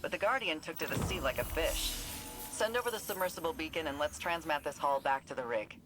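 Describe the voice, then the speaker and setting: A woman's voice: low-pitched, brisk. An actress playing a tough, determined female field commander in a video game, speaking in a terse, resolute military manner. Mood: brisk, commanding. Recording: loud music and noisy game ambience behind.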